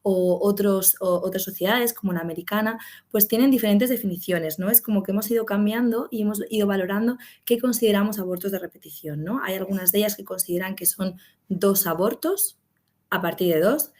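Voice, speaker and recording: A woman's voice: mid-range voice, fairly quick, darkish tone. Woman doctor giving a casual medical talk. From Spain. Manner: conversational, frequent fillers and tag questions. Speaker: gynecologist and clinic medical director. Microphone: video call.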